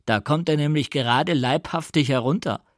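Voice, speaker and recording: A man's voice: on the high side, moderate pace, no echo, studio quality, natural voice. A man voicing an insolent, mocking male servant with a sneering, derisive manner in a literary audiobook.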